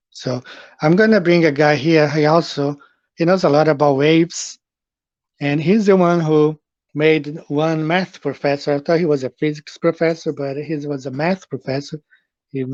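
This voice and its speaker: A man's voice: on the high side, no room sound, highs cut off. Brazilian man hosting a rambling livestream. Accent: Brazilian Portuguese. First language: Portuguese. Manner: rambling, with many fillers and restarts. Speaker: livestream host. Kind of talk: casual livestream Q&A.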